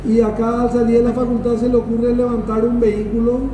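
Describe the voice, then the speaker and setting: High-voiced Paraguayan male law professor lecturing. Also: monotone, fairly quick, far from the mic. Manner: didactic, emphatic repetition, checks understanding. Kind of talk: classroom lecture with questions to students. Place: classroom. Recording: echoey room from afar, noisy.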